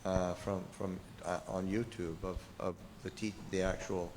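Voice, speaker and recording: An older man, a Buddhist monk, giving an informal talk and answering questions, pausing often with fillers and restarts. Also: low voice, little pitch movement, in a large hall, noisy background. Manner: halting, many fillers and restarts.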